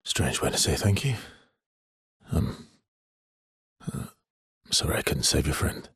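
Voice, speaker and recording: A guarded, world-weary immortal expeditioner in a video game drama. His voice is very husky and deep, moving expressively between soft hesitance and protective earnestness, recorded dry and close. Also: lively intonation, a little slow, no echo, exaggerated voice. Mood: calm, guarded. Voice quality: husky, breathy, gravelly low voice. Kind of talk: dramatic video game voice acting, naturalistic and understated.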